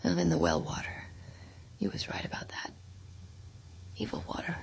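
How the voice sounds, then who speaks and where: A woman voicing a dying old farmer, dazed with horror, in halting rustic fragments and breathy croaks for a horror audiobook. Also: on the low side, animated, voice pushed up, exaggerated voice. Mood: fading dread. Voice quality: breathy, crackling whispers and croaks. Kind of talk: dramatic horror audiobook reading.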